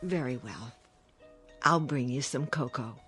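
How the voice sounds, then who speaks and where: A woman's voice: a bass voice, a little slow, a bit bright. An actress playing a fussing, smothering middle-aged mother in a radio drama, fretful, coaxing and insistent. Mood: concerned, coaxing. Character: fussing, smothering, anxious mother of grown children. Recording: music bed under the dialogue.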